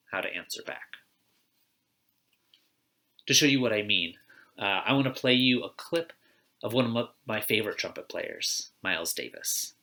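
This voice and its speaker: A man's voice: fairly low pitch, pushed and bright. A man giving a conversational church reflection, plain-spoken and putting questions straight to his listeners. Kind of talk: conversational sermon on a personal theme.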